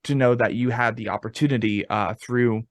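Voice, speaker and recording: A man in his twenties hosts a casual podcast chat. His voice sits at a middling pitch in a dry, close recording. He is effusive and slangy, and he swears freely. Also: steady tone, fairly quick, no room sound. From the West. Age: 20s. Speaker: podcast host.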